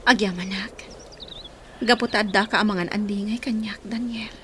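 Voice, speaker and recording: A woman's voice: medium pitch, steady tone, moderate pace, slight background noise, close to an everyday voice. A woman acting a timid, heartbroken young villager in a radio drama, speaking in a tearful, hesitant way. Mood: sad, hurt.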